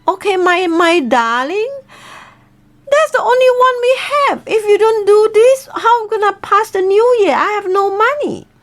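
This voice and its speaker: A woman playing a poor, good-hearted husband in a folk tale, excitable and insistent. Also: extremely high pitch, measured pace, voice pushed up.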